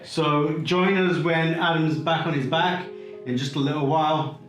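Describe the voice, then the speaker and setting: Chatty guy therapist, kinda high voice, echoey room, guitar music going. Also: fairly even intonation, normal speed, a bit bright. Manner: chatty, jokey, addresses viewers directly. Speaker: myofascial therapist. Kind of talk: explaining treatment while working on a client. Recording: roomy, far from the mic, guitar music behind.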